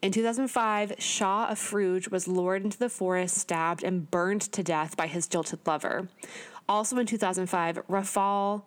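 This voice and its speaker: A woman's voice: mid-range voice, steady tone, normal speed, clean tone. A woman co-hosting a podcast, reading through researched notes in a casual, earnest way with small stumbles and asides. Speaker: podcast co-host.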